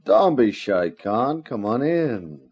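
Menacing nightclub racketeer, 1950s radio drama: dark, husky, drily sardonic. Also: male voice, medium pitch, big pitch swings, takes it slowly, dull audio, exaggerated voice. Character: smooth-talking, menacing nightclub gangster boss.

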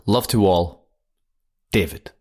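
An earnest young airman writing to his mother, read aloud by a man. His voice is low and steady, modest, with a touch of dry humour. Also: slow pace, slightly rough, exaggerated voice. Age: young adult. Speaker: letter reader. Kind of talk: reading a wartime letter aloud.

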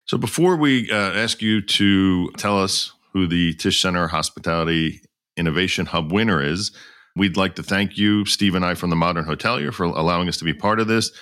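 A man's voice: deep voice, moderate pace, fairly bright tone. A middle-aged man co-hosting a podcast, easygoing and repeating his words as he talks. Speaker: podcast co-host. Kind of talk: podcast interview.

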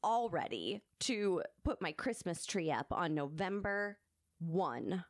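A woman's voice: lowish voice, big pitch swings, slow, no echo, studio quality. A woman co-hosting a podcast with casual, giggly, self-deprecating banter and informal phrasing. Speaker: podcast co-host.

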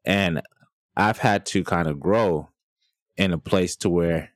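A man with a very low voice tells stories in a colloquial, lively way. His voice sounds dry and close to the mic. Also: takes it slowly, no room sound. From American South. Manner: colloquial, animated storytelling. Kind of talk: casual podcast chat.

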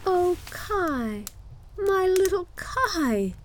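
Young girl, voiced by a woman, very high pitch, plaintive, gentle, anxious, storybook character. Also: big pitch swings, slow, slight background noise, full character voice. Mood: sobbing.

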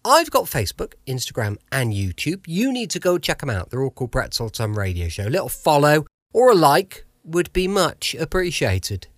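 A man's voice: on the high side, very animated, moderate pace, hardly any pauses, no echo, studio quality. A man with an English accent, hosting a podcast with a chatty, informal introduction. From United Kingdom. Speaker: podcast host. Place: at home.

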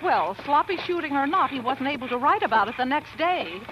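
An actress playing a spirited, proper young pioneer wife who also narrates an old-time radio drama, with genteel, emotive delivery. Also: female voice, high-pitched, lively intonation, fairly quick, lo-fi sound, background noise. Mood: indignant, concerned.

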